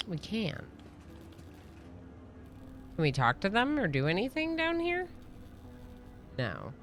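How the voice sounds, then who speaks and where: Bright-voiced woman game streamer, chatting casually. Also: mid-range voice, huge pitch swings, takes it slowly, a little hiss or hum. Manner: chatty, reads game dialogue aloud. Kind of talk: casual live-stream chat while playing a role-playing game.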